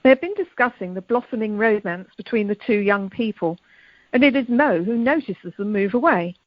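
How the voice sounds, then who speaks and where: A woman's voice: mid-range voice, normal speed, no echo, natural voice. A woman as the storyteller of an amateur radio play, narrating scene directions plainly from a script. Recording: dull telephone conference line.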